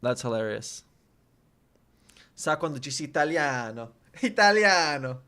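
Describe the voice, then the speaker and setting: Guy learning portuguese, talks real slow, keeps repeating words while he figures it out. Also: on the high side, lively intonation, sharp tone. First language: English. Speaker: language learner and vlogger. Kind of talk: casual study vlog, thinking aloud.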